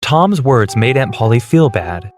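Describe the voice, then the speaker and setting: A man's voice: medium pitch, big pitch swings, a little slow, darkish tone. Male storybook narrator over music. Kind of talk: scripted children's story narration.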